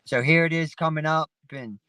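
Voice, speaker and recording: A man's voice: fairly high pitch, moderate pace, sharp tone, clear, resonant tone, no echo. A man hosting a livestream panel, chatting casually in a rambling, profane, laid-back way. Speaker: livestream host. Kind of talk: casual chat. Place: sitting in his parked vehicle. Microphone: phone.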